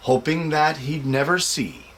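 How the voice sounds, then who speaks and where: A man hosting a show for families and reading a children's picture book aloud in an expressive, measured way. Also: fairly high pitch, slight background noise. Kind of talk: casual introduction, then expressive storybook read-aloud.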